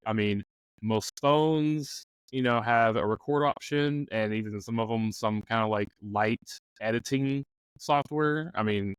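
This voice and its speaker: A man's voice: mid-range voice, clear, resonant tone, no echo, rough recording. A man talking casually in a loose, informal way, thinking aloud as he offers advice in a discussion. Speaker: discussion panelist on a game master advice show. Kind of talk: casual chat.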